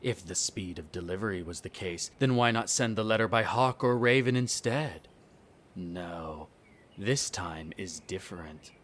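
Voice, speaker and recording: A man's voice: low voice, measured pace, fairly bright tone. A man playing a smug, disdainful vampire noble in a scripted roleplay audio drama, speaking formally with sardonic condescension. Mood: suspicious, explaining.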